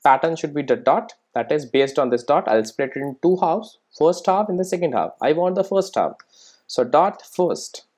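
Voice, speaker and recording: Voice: mid-range voice, animated, normal speed, darkish tone. A programming instructor giving a casual step-by-step screen-recorded tutorial, often checking in with "right?" and "okay".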